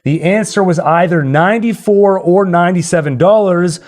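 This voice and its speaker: A man's voice: high voice, normal speed, a little mellow. A man hosting a live stream with casual, playful banter, slipping into announcer-like score calls. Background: music.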